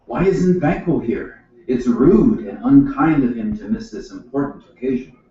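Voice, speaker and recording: A man's voice: mid-range voice, animated, moderate pace, mellow, recorded from a distance, close to an everyday voice. An actor playing an uneasy, murderous new king in an educational radio play. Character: newly crowned murderous king, hosting, uneasy. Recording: echoey room, off mic, dull sound.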